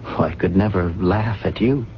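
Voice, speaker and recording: An actor playing a weary, gentle amnesiac in a radio drama, with formal, educated diction and a halting, pensive delivery. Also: male voice, low-pitched, natural voice. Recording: dull, noisy 1970s radio recording.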